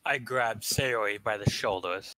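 A man's voice: fairly low pitch, unhurried, pushed and bright, no echo, low-quality recording. A man narrating a visual novel in a staged reading, with casual asides.

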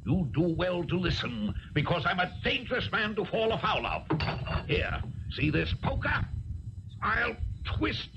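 A bullying, menacing old doctor. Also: male voice, high-pitched, wildly swooping pitch, unhurried, gravelly, heavily acted. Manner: blustering, threatening, barking insults. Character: menacing bullying older male doctor, violent temper. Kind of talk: 1970s radio drama acting. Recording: dull old radio broadcast with hiss.

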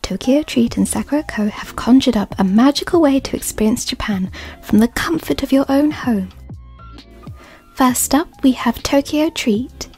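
A woman reading a sponsor segment in a soft, partly whispered ASMR style. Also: sits high, lively intonation, normal speed, faint music behind. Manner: gentle, partly whispered, with soft tasting sounds. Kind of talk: soft-spoken ASMR ad read.

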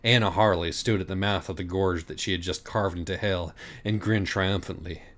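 A man's voice: low voice, steady tone, normal speed, fairly bright tone. A man reading a parody audiobook as a dramatic narrator, with a theatrical, sardonic manner.

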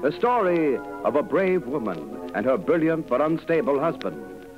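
A man's voice: medium pitch, huge pitch swings, unhurried. Old radio announcer guy, super dramatic swoops, muffled, blaring music behind. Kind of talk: old-time radio show introduction, theatrical.

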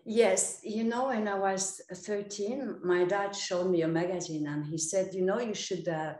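A woman's voice: mid-range voice, measured pace. Woman on a roomy video call. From Poland, raised in Paris. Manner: hesitant, restarts often, trails off softly. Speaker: guest, former model and actor. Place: indoors at home.

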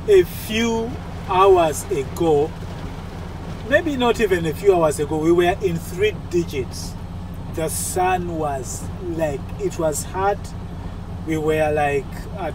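A man's voice: a little high, slow pace. A man, around his forties, talking casually and explaining things to viewers like a vlogging driver. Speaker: truck driver vlogger giving advice. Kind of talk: casual vlog talk to viewers. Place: mostly inside a truck cab, some outdoors. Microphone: phone or camera at arm's length. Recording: noisy handheld recording with vehicle ambience.

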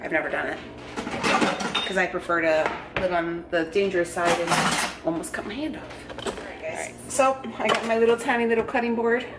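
A woman's voice: lowish voice, brisk. Chatty Midwestern woman in a kitchen. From United States. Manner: chatty, filler-heavy, self-joking. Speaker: vlogger. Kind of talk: casual cook-along vlog. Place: home kitchen, indoors. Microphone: camera mic, moving about the room. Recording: echoey kitchen with noise.

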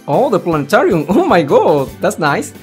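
Male let's player, casual and rambling, animated pitch, slightly stumbling delivery, mild swearing, music behind. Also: moderate pace. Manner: rambling, stumbling over words, swearing mildly. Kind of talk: casual reaction commentary while reading a visual novel aloud.

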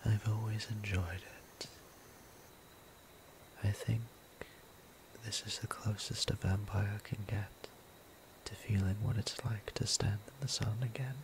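A man playing a gentle, courteous, centuries-old vampire priest in an intimate ASMR roleplay, speaking formally and tenderly in a hushed half-whisper. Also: lowish voice, steady tone, unhurried, pauses often, background noise, lightly acted. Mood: tender, softly awed.

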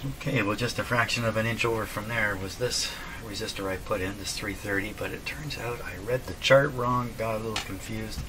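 A man's voice: on the low side, fairly quick, few pauses, a crowd in the background. An older man narrating casually at his workbench, thinking aloud in a folksy way. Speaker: radio repair hobbyist, presenter. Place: small cluttered indoor workshop at a bench. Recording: distant mic, clear background noise.

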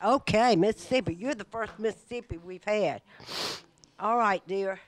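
A woman's voice: mid-range voice, normal speed. Older woman host, friendly folksy emcee patter on a handheld mic in a community hall. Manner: folksy, calls people honey. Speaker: senior center host. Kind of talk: casual emcee chat. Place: large indoor community room.

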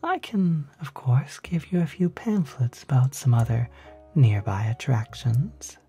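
An ASMR performer of unclear sex playing a courteous demon hotel concierge, speaking softly and politely, close to the microphone, often near a whisper. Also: mid-range voice, lively intonation, breathy edge, soft background music, heavily acted. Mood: helpful, reassuring. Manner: polite, unhurried, gently salesmanlike. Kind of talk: ASMR roleplay.

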